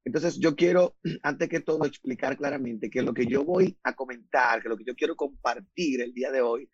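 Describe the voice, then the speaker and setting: A man from the Republic, speaking as a guest on a radio talk show, earnestly explaining his ideas and slowing down to stress points. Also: medium pitch, husky, no room sound, lo-fi sound. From Dominican Republic.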